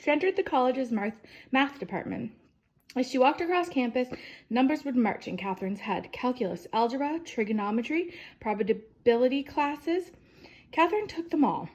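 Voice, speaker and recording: A woman with a slightly high voice reads a children's biography aloud in storytime style. She phrases deliberately, starting sentences with emphasis and letting the endings fall away softly. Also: animated. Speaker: storyteller reading a picture book aloud.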